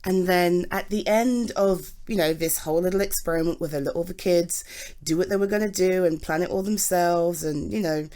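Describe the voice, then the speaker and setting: A British woman acting as a doting, supportive girlfriend in an intimate ASMR roleplay, affectionate and halting as she talks to her partner. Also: fairly low pitch, warm tone, lightly acted. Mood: gentle, confiding. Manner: gushing, affectionate, halting with sighs and repeats.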